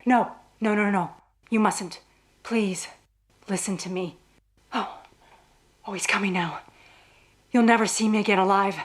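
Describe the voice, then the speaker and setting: A woman's voice: medium pitch, fairly even intonation, measured pace, long pauses, close to an everyday voice. A woman playing a frightened, desperate wife in an old-time radio melodrama, urgent and hesitant, as if fearing for her life. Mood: desperate pleading. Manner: breathless urgency, hesitant stammering.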